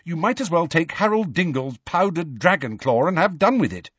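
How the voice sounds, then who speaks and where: A man voicing a bossy, sensible, earnest teenage schoolgirl with a brisk manner in an audiobook. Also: fairly high pitch, expressive, fairly bright tone, breathy edge, no room sound, lo-fi sound, pitched above the performer's own voice. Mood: stern, dismissive.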